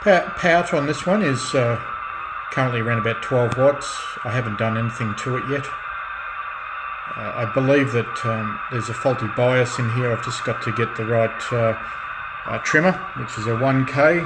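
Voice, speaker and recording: A man hosting a casual hands-on radio demonstration, talking in a halting way as he thinks aloud. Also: medium pitch, very noisy. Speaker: radio restorer and video host.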